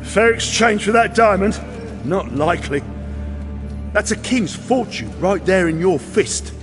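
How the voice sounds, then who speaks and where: A weary, wary mercenary captain talks bluntly in a fairly high male voice, used to giving orders. Music runs behind him in this fantasy audio drama. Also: animated, measured pace. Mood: wary, resigned. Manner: plain-spoken, gruffly commanding.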